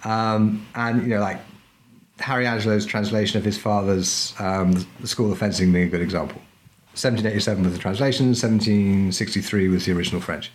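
A man's voice: lowish voice, steady tone, fairly quick, a little hiss or hum, low-quality recording. A middle-aged man talking casually as a podcast guest, a historical fencing instructor who speaks in a chatty, emphatic way and often checks in with "right?". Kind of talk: casual interview chat.

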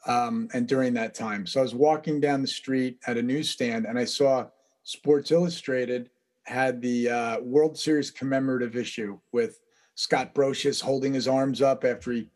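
A man as a podcast guest, telling long stories in a relaxed, rambling way with frequent laughs. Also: medium pitch, moderate pace. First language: English. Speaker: guest, baseball interpreter. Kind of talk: casual storytelling interview.

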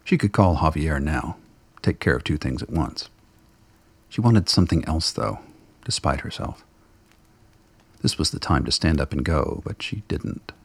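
A man narrating a radio play in a scripted, dramatic storytelling style with a gravelly, husky voice. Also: extremely low pitch, lively intonation, measured pace, no room sound.

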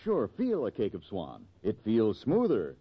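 A man's voice: on the low side, huge pitch swings, measured pace, breathy edge. A man delivering an emphatic, salesmanlike 1940s radio commercial as announcer. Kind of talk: 1940s radio advertising pitch. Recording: dull vintage 1940s radio.

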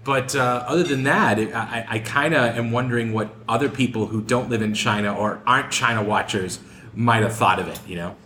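A man leads a group discussion as its host, speaking in an informal, conversational way. His voice sits at a middle pitch in a roomy, echoing space with faint noise behind it. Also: a little fast, pushed and bright. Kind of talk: casual group discussion moderating.

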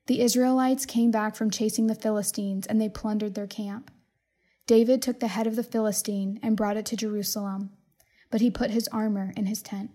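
A woman reading a Bible passage as scripted narration in a measured, solemn manner. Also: medium pitch, fairly even intonation, warm tone. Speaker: scripture reader.

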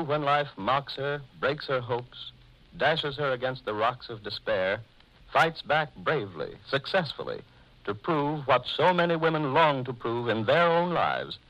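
A man's voice: medium pitch, fairly bright tone, no room sound. A man giving a dramatic scripted introduction as announcer of an old radio serial. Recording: muffled old radio recording.

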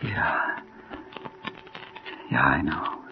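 A male actor in a 1940s radio drama playing a gravely wounded, frightened man with a halting, gasping, overwrought delivery. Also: soft background music. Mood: weary, resigned. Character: terrified, gravely wounded man facing Death. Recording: dull, noisy 1940s radio recording.

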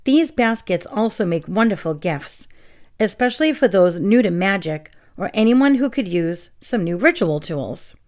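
A woman's voice: mid-range voice, animated, normal speed. A young woman from New England hosting a casual solo podcast, chatting and reading aloud while getting over a sore throat. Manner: chatty and friendly, with fillers and soft laughs. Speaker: podcast host.

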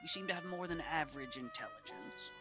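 A man playing an awkward, blunt professor in a radio play, speaking in a formal, halting way. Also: a little high, bright, forceful tone, soft background music. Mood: blunt, irritated. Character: awkward, blunt male professor, wary of women. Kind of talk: old-time radio play acting.

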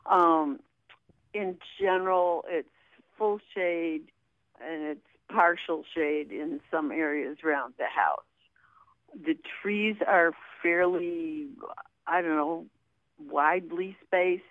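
A woman's voice: sits low, slow, dry recording. A woman calling in by telephone, explaining casually and hesitantly, often correcting herself, over a tinny, narrow line. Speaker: phone-in caller.

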